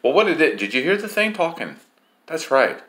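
A man's voice: on the high side, sing-song, a little fast, a bit bright, roomy sound. A man vlogging into his phone in a put-on Scottish accent, swearing in nearly every sentence as he rants. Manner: profane, cursing constantly. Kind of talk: casual vlog to camera. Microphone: phone camera.

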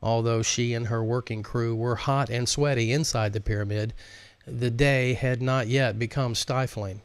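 A man's voice: mid-range voice, steady tone, normal speed, smooth, no echo. A man in his fifties hosting a live stream, speaking as an author who chats informally and reads his audiobook aloud. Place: indoor studio, close up, virtual backdrop. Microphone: large microphone on a stand in front of him.

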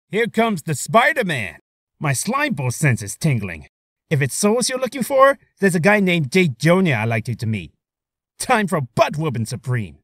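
A man's voice: a little high, a bit bright, no echo, studio quality, heavily acted. A man voicing a cocky young superhero with breezy, joking taunts for a voice reel. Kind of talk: character voice reel.

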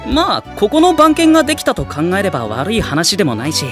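A man's voice: sits high, wildly swooping pitch, a little fast, over music. A man playing a kind, easygoing teenage boy with a casual, good-natured way of talking.

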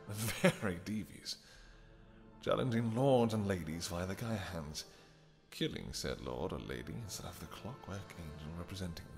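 A man playing a suave male vampire king, smooth, flirtatious and dryly amused, in an audio roleplay. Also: sits low, exaggerated voice. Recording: long reverb with music behind.

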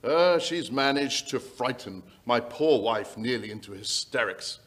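Mid-pitched, worried gentleman landowner, played by a man. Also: lively intonation, unhurried. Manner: hesitant, polite storytelling. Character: worried aristocratic landowner, reasonable and skeptical. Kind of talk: old-time radio acting. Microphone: shared stage microphone.

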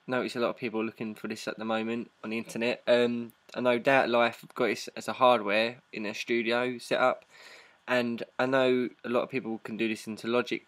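A man presenting a casual software tutorial in an informal, halting step-by-step way. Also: mid-range voice. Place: indoors, voice-over on a screen recording.

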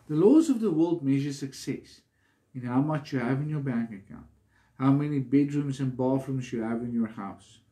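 Slow, mellow male preacher. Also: medium pitch. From South Africa. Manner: earnest, deliberate, pausing between short phrases. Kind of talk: devotional sermon.

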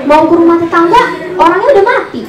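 A woman's voice: extremely high pitch, lively intonation, fast talker, distant mic, a crowd in the background. A young woman performing a stand-up comedy routine as storytelling with punchlines. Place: small indoor comedy venue with audience. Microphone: handheld mic through speakers, recorded from audience. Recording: echoey room, off-mic camera audio.

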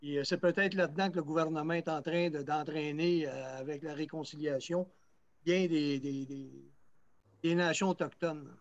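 Host with a dry, close sound. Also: male voice, a little high, steady tone, dry recording, lo-fi sound. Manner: halting, stumbles over words. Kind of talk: responding to a comment in a discussion.

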